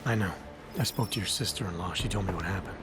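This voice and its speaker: Game hero agent, played by a man: deep-set voice, quick delivery, businesslike, determined, keeps emotion in check. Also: close to an everyday voice. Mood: cool, guarded. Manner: terse, matter-of-fact, restrained. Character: calm, determined male covert agent, controlled and businesslike. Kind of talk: dramatic video game acting. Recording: game dialogue under loud music. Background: game music.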